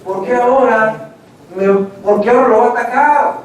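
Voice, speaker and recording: A man's voice: very high-pitched, normal speed, a little hiss or hum. A man preaching an evangelistic sermon, telling stories dramatically and acting out voices. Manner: dramatic storytelling, acts out voices, addresses "hermanos". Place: church. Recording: echoey church hall, mic at a distance.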